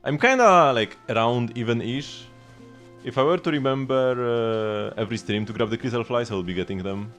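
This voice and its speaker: A man's voice: mid-range voice, normal speed, fairly bright tone. A man live streaming a video game, chatting casually and informally as he rambles along and answers viewers. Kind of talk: casual gaming stream chat. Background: game music.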